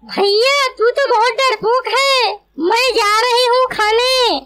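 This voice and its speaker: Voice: very high-pitched, takes it slowly, pushed and bright, no echo, clean studio sound, full character voice. A performer voicing a bold, teasing little girl in a cartoon, bossy and coaxing. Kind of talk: cartoon dialogue.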